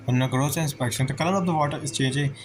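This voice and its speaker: A man giving halting, think-aloud gameplay commentary as a streamer, with music playing behind him. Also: medium pitch. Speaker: gaming streamer. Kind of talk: game commentary, reacting and narrating.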